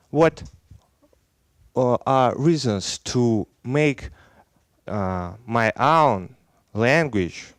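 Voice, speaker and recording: Slow, halting man with Belarusian accent. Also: mid-range voice, no echo, clean studio sound. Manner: halting non-native English with many fillers. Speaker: conference speaker. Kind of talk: technical conference talk. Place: conference hall stage. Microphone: headset.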